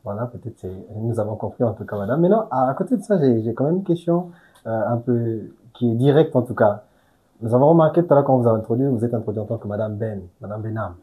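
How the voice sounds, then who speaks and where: Host: man, rapid polite questioning, warm dark tone. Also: medium pitch, expressive. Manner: polite and formal, frequent murmured acknowledgements. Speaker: interview host. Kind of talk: formal interview questions.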